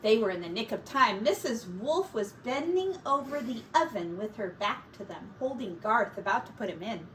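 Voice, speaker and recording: A woman teacher reading a children's story aloud with expressive, deliberate dramatic emphasis. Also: fairly high pitch. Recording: distant mic with faint room noise.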